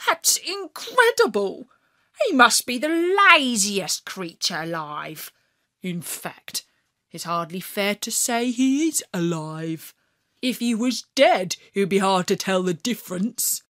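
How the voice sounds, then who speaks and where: Boastful hare, put on by a woman, excitable, mocking, bright tone. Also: mid-range voice, slow pace, no echo, studio quality. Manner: scornful and excitable.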